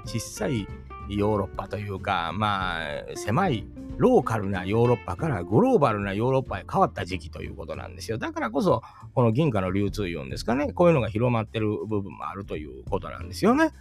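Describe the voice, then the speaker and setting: A man with a Kansai accent chatting casually and rambling in a lively way. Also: lowish voice, vocal fry. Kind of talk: casual monologue. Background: guitar music.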